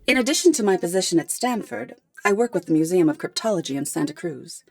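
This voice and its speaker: An actress playing a poised, articulate professor in a radio drama, explaining things in a measured, polite way. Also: female voice, medium pitch, very animated, gravelly, no echo. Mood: composed. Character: poised, articulate female professor hiring a detective.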